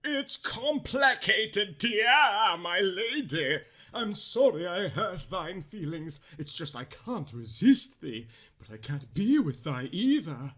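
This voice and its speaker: A man's voice: high voice, very animated, takes it slowly, bright, forceful tone, voice pushed up, heavily acted. A man voicing a lovesick, mournful teenage vampire boy in florid, mock old-fashioned speech for a parody story. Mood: anguished, apologetic. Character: lovesick archaic-talking male teen vampire, melodramatic and mournful.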